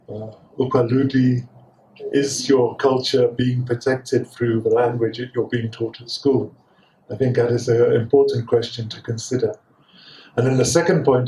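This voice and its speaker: A man's voice: medium pitch, dark tone. A man from Wales giving thoughtful panel remarks, often repeating words as he hesitates. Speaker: academic co-author speaking at a book event. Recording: echoey room, distant mic.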